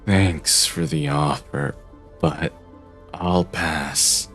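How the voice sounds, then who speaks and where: Deep voice, man playing weary reclusive young detective, anime dub, deadpan, theatrical, music underneath. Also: drawn-out words, exaggerated voice. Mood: weary, gloomy. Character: aloof, eccentric young male genius detective, reclusive and weary.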